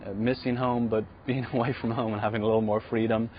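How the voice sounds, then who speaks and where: A man from Ireland coaches a college tennis team and talks it over in a fairly low voice, pausing and restarting as he thinks. The sound is a bit dull, with a faint hiss behind it. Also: flat delivery. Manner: thoughtful, with small restarts. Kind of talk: casual interview answers.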